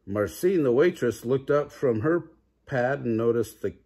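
An older man, a grandfather, reading a children's picture book aloud in a playful, expressive way. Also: medium pitch, unhurried, husky. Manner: playful, chuckles at the story. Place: living room couch, indoors.

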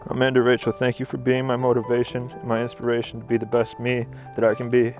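A man's voice: medium pitch, completely flat delivery, quick pace, a little mellow. A young man, a groom, earnestly reading his written wedding vows at an outdoor ceremony. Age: young adult. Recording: music under the voice. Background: music.

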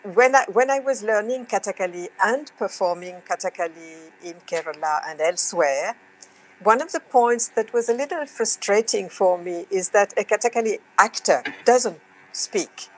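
A middle-aged woman speaks English with a French accent, reflective and unhurried. She pauses often and laughs softly. The sound comes through a laptop webcam on a video call from a home room. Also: mid-range voice, low-quality recording. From France. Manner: reflective, pausing, with soft laughs. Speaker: guest dancer and actress. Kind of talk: video call panel talk.

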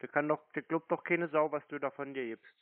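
A man's voice: a little high, steady tone, brisk, no room sound. A man in mocking phone banter, blunt, sarcastic and taunting, with a narrow phone-like sound. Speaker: scam baiter taking a call.

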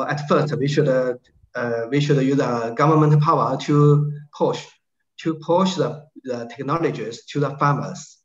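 Slow, halting man with a Chinese accent. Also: medium pitch. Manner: halting, many fillers and restarts, searching for words. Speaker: invited speaker, agricultural researcher. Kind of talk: Q&A on a video call. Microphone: video call. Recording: dull lo-fi call audio in an echoey room.

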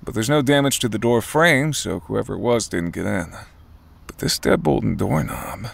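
A man's voice: fairly low pitch, expressive, moderate pace, creaky, heavily acted. A man playing a probing, wry investigative reporter in an audio drama, casual and skeptical.

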